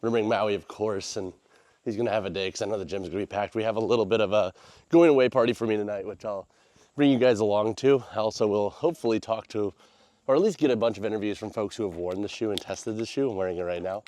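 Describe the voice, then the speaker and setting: Male American vlogger, lowish congested nasal voice, loose slangy chat, dry close sound. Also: fast talker, a little mellow, no room sound. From United States. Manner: informal, slangy, rambling. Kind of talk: casual vlog chat.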